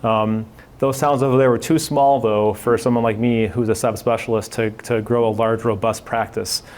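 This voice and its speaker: Chicago-area spine surgeon guy, halting, keeps restarting words, pretty flat delivery. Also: mid-range voice, a little hiss or hum. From Chicago area, USA. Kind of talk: community talk, personal background.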